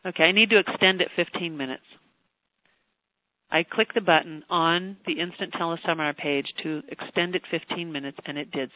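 An older teleseminar host and voice coach who chats warmly with listeners, then leads a slow, soothing guided relaxation. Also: medium pitch, no echo. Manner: effusive and chatty, turning slow and soothing for a trance induction. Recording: tinny phone line teleseminar, dry.

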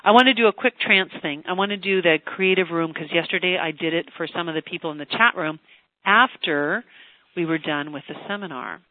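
An older host speaks over a thin, phone-like teleseminar line with a dry, close sound. The talk moves from effusive, chatty thanks to a slow, soothing trance induction. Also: medium pitch, dry recording. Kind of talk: live teleseminar, then guided relaxation script.

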